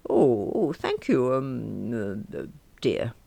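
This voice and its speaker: A woman's voice: a bass voice, very animated, takes it slowly, gravelly, dark tone, full character voice. Casting: befuddled senior partner, hesitant and stammering , a woman reading him. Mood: flustered. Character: forgetful, flustered older male boss.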